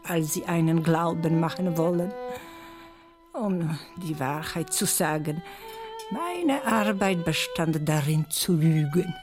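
An actress playing a world-weary, cynical Polish baroness in a German-dubbed film thriller, speaking frankly and with melancholy. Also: female voice, on the low side, a little mellow. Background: violin music.

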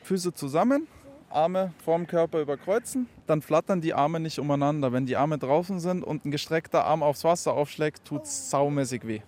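A man's voice: fairly high pitch, normal speed, a little mellow. A man working as a pool lifeguard, giving practical step-by-step instructions in conversational speech.

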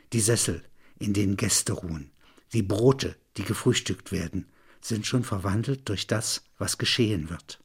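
A man reading literary narration with measured, deliberate phrasing. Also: mid-range voice, husky.

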